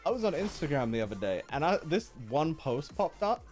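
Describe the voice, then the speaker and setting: Lively joking male streamer. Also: a little high, brisk, soft background music. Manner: joking, slangy. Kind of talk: casual game reaction commentary.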